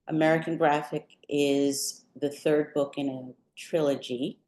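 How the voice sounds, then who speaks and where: A woman, a guest poet, giving prepared remarks before a reading in a deliberate, articulate way. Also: deep voice, a little mellow. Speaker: poet and visual artist, guest reader. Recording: roomy, echoing sound.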